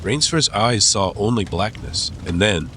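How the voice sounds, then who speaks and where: A man's voice: lowish voice, animated, a little slow, sharp tone, slight background noise. A man delivering scripted third-person narration for a dramatized audiobook.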